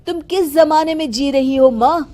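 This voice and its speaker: A woman's voice: high-pitched, normal speed, over music, close to an everyday voice. A woman voicing a caring, firm middle-aged father in a Hindi cartoon story. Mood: firm, encouraging. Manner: firm, encouraging. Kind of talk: cartoon voice acting.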